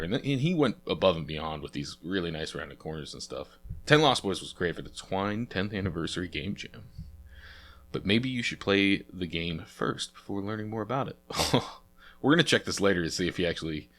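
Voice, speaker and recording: A deep-voiced young man hosts a relaxed game playthrough, sounding congested and sniffly from a cold. He rambles along casually, dropping frequent fillers as he clicks through. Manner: chatty, rambling, lots of uh fillers. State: stuffy nose from a cold. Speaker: let's play host.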